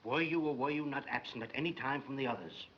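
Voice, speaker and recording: An actor playing a prying, domineering schoolmaster who interrogates with pompous insinuation in old film melodrama style. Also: male voice, mid-range voice, fast talker, natural voice. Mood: accusatory. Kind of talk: vintage film melodrama acting. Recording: muffled vintage film sound, noisy.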